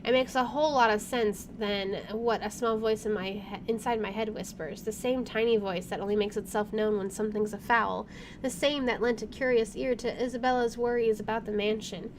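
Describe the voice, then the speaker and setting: Higher-pitched woman reading a game aloud. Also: steady tone, a little fast, slight background noise. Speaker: gaming video host. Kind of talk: reading visual novel narration aloud with casual reactions. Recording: faint background game ambience.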